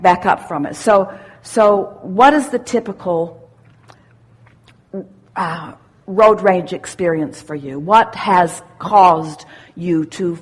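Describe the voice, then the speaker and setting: Woman, mid-pitched, husky, seminar teacher, emphatic, deliberate, repetitive phrasing. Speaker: seminar speaker. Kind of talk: interactive seminar teaching with questions and anecdotes.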